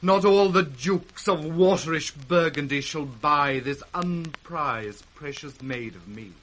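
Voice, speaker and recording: A man's voice: a little high, slow, fairly bright tone. An actor playing a noble, ardent young-hearted king in a 1960s classical radio drama, lofty and earnest. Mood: ardent, tender. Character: noble, ardent king of France, honourable suitor.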